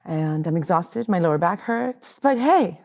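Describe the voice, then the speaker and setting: A woman's voice: on the low side, animated, unhurried, darkish tone. Playful laughing woman host. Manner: playful, laughs often, teasing. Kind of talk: casual podcast chat.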